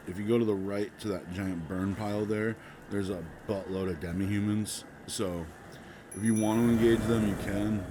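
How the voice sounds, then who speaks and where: A man who is sick, giving casual, rambling commentary over his gameplay as a channel host. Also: low voice. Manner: laid-back, rambling, many ums. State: sick, voice a bit off. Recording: loud background noise.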